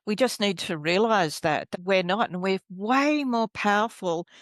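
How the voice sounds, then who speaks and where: A woman's voice: mid-range voice, measured pace, dry recording, clean studio sound. A woman, a guest healer telling her story in an earnest, searching way. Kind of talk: casual spiritual interview talk.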